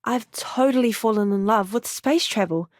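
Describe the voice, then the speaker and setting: A woman's voice: mid-range voice, moderate pace, darkish tone, no echo, studio quality, close to an everyday voice. A woman playing an earnest, friendly young space medic, a companion character in a video game. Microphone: close studio mic, dry.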